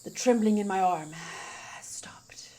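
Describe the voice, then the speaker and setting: A woman's voice: mid-range voice, slow pace, mellow, in a whisper. A woman game streamer reading game text aloud and chatting informally with casual asides.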